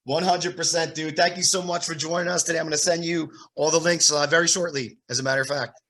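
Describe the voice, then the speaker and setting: A man's voice: fairly high pitch, steady tone, fast, pushed and bright. A man in his forties hosting a casual interview over a video call, laid-back with friendly bro talk. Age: 40s. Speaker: podcast host and drummer.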